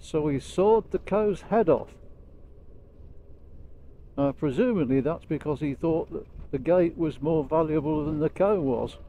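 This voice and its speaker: A man with a Lancashire accent giving casual, unhurried storytelling commentary as he rides a motorbike, speaking into a helmet mic. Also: fairly high pitch, mellow, rough recording. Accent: Lancashire English. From Lancashire, England. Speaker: motorcycle vlogger. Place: outdoors on a moving motorbike. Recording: vehicle noise while riding.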